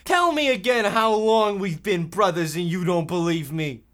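A man playing a bossy, shifty older brother, a Depression-era boy, halting and mock-folksy in a comic radio play. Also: sits high, a bit bright. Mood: sly. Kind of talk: amateur comic old-time radio acting.

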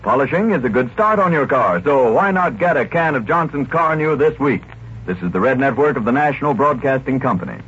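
Animated American male announcer, old radio. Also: mid-range voice, fairly quick, slightly rough. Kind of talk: scripted 1940s radio advert and station announcement. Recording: muffled, hissy 1940s radio broadcast.

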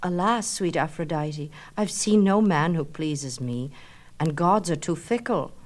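A kind, dreamy young nymph from myth, as a woman reads her. Her voice is low in pitch, and she is choosy about love. The setting is a storybook reading. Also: expressive, measured pace. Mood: light, wistful.

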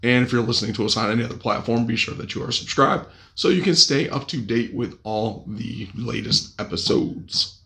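A man hosting a podcast with crude jokes, his voice raspy from a cold. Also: medium pitch, moderate pace, bright, forceful tone. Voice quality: gravelly. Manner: crude, joking, upbeat. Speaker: podcast host. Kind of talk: casual podcast chat.

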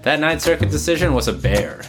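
A man with a cold and a slightly nasal voice, hosting a scripted immigration law podcast and reading the sponsor ads himself, brisk with informal asides. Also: fairly high pitch, animated, over loud music. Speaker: podcast host and immigration lawyer. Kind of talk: scripted legal podcast narration with ad reads.

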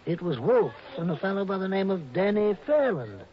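An old retired judge weighs each word in a careful, lawyerly way, with a fairly high voice for a man. The sound is dull and muffled, like an old radio broadcast. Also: big pitch swings. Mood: measured. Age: older. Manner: deliberate, hedging, lawyerly. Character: retired old male judge, measured and discreet. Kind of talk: 1960s radio drama acting.

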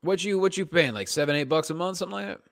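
A man's voice: on the high side, rapid-fire, no echo, studio quality. A man co-hosting a comedy podcast, bantering casually with wry, teasing remarks and some swearing. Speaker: podcast co-host.

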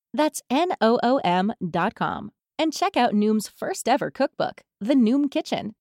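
Woman reading a lively ad. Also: a little high, no room sound, studio quality. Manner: bright, promotional read. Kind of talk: scripted ad read.

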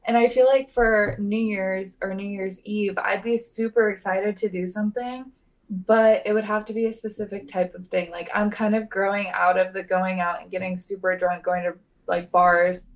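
A young woman in her twenties talks casually on a podcast. She is playful and chatty and likes dramatic exaggeration. Her pitch sits in the middle. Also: steady tone, moderate pace. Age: 20s. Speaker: podcast co-host. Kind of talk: casual chat.

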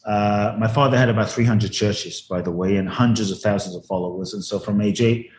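A man's voice: on the low side, steady tone, a little fast, a little mellow, reverberant, slight background noise. A man from Ghana, a spiritual teacher telling his life story earnestly in an interview over a video call, repeating words for emphasis.

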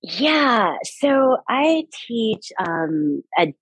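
A woman's voice: on the high side, sing-song, fairly bright tone, husky, no room sound, poor audio. A woman in her forties, a sex educator, talking enthusiastically in a casual interview and laughing easily. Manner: enthusiastic, upbeat, quick to laugh.

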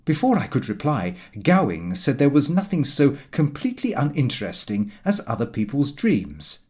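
A man reading a comic first-person diary aloud in an expressive, fussy storytelling manner. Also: mid-range voice, moderate pace. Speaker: audiobook narrator.

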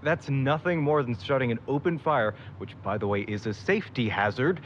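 A man's voice: mid-range voice, animated, moderate pace, lightly acted. A man playing a sardonic, skeptical young van driver in an audio drama, grumbling dryly on a muffled, faintly noisy recording. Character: sardonic young male van driver, reluctant travel companion.

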